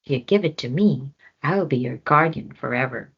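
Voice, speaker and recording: Sly panther, persuasive and grumbly; woman reader, lively lo-fi delivery. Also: very deep voice, normal speed, slightly rough, exaggerated voice. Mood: coaxing. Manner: coaxing, grumbling. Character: sly smooth-talking panther, bargaining.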